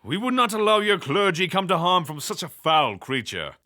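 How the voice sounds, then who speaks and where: A man's voice: sits high, very animated, moderate pace, pushed and bright, heavily acted. A man playing a flamboyant, haughty god of fire and war in a fantasy audio drama, theatrical and condescending. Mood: grandly pleased.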